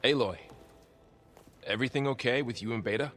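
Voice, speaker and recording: A man's voice: mid-range voice, brisk, a bit bright, in a large hall, faint music behind, natural voice. A man playing a concerned, tactful companion in a science-fiction adventure video game. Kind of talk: video game cutscene acting.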